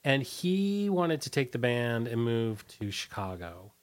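A middle-aged American man reminiscing casually as an interview guest, talking in a halting, rambling way with lots of "like". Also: medium pitch, big pitch swings. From Washington, D.C., USA. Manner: halting, hesitant, rambling, frequent "like".

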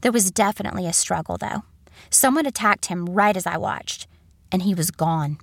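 A woman playing a haunted journalist, narrating a first-person horror story in a measured, suspenseful manner. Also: medium pitch, vocal fry, no room sound. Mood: somber, uneasy. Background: music.

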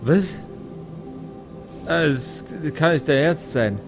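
A man acts out a boozy male dwarf villager in a tabletop game, lamenting in a slow, woeful drawl. Music plays behind the voice. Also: on the high side. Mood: flustered, dismayed. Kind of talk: tabletop role-play acting.

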